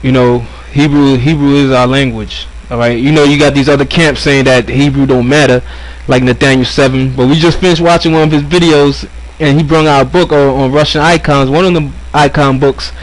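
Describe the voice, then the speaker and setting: A man's voice: mid-range voice, fairly even intonation, a little fast, few pauses, low-quality recording. A man giving casual religious commentary, earnest and repeating himself often. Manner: earnest, repeats words, says "all right" often. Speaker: co-host.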